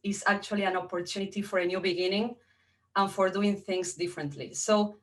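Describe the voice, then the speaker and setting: A woman's voice: mid-range voice, steady tone, brisk. A middle-aged woman from Argentina, a native Spanish speaker with an Argentine accent, telling her personal story as a motivational guest speaker. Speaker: guest speaker, entrepreneur and coach.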